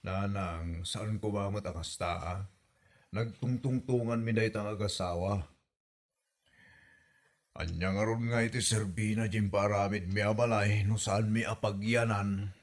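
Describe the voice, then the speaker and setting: A man's voice: lowish voice, steady tone, a little slow, lightly acted. A man with an Ilocano accent playing a hesitant, protesting husband caught between wife and mother in a comedy drama. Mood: pleading.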